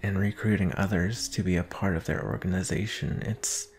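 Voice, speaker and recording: A young man playing an anxious, protective vampire boyfriend in an intimate roleplay audio drama, speaking in an earnest, halting way with sighs. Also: sits low, fairly even intonation. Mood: somber, confiding. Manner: halting, sighing, earnest delivery.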